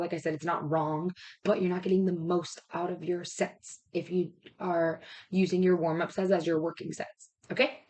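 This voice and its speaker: A woman's voice, a little low and raspy, as if she is losing it. She speaks as a health and fitness coach in casual talk. Her delivery is informal and rambling, explaining step by step with frequent check-ins. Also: darkish tone.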